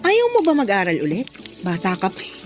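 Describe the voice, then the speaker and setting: A woman's voice: medium pitch, wildly swooping pitch, a little fast. A woman with a Filipino accent playing a blunt, caring older co-worker in a radio drama, prodding and exclaiming as she talks. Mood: urging. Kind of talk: Tagalog radio drama acting. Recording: music bed under the dialogue.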